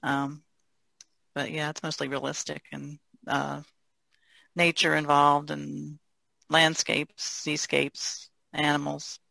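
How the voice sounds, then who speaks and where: A woman's voice: low-pitched, flat delivery, slow pace, creaky, bright, forceful tone, no room sound. A woman from the Chesapeake Bay region chatting casually and easily as a painter. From Chesapeake Bay region, United States. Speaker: painter being interviewed. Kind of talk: casual chat.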